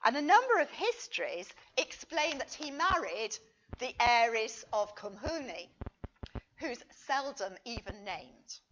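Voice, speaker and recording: A woman reading a history paper aloud as a conference speaker, expressive and emphatic, with a wry touch. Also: on the high side, unhurried, highs cut off, a little hiss or hum. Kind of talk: prepared lecture read aloud to an audience.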